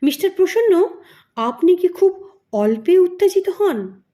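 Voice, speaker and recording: A woman's voice: extremely high pitch, big pitch swings, a little slow, mellow, voice pushed up, full character voice. A woman voicing a curious, teasing young man in an audio story, with a direct, playful way of asking.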